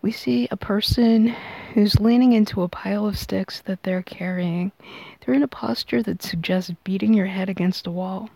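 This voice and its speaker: A woman hosting a tarot reading, explaining it in measured, unscripted phrases. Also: medium pitch, fairly even intonation, gravelly, mellow, dry recording, rough recording. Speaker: tarot reader and podcast host.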